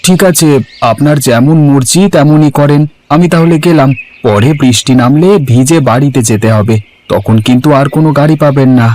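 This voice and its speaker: A man's voice: mid-range voice, brisk, a little mellow, soft background music, voice pushed up, natural voice. A man voicing a humble, good-hearted poor village van driver in a cartoon, deferential and earnest. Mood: earnest, slightly hurt. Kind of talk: Bengali cartoon story voice acting.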